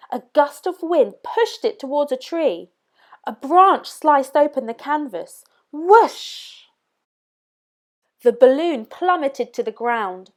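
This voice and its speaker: A woman reading a children's story aloud as narrator, with expressive, dramatic emphasis. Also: high voice.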